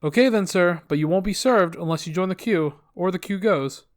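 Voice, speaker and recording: A man's voice: high-pitched, normal speed, close to an everyday voice. A man voicing a courteous but firm male shop security guard in a narrated story. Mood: polite, firm.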